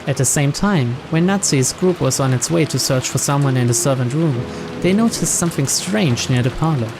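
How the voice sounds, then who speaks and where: A man reads a game's story text aloud as narrator. His voice sits at a medium pitch. Game music plays clearly under the scripted narration. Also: expressive, moderate pace.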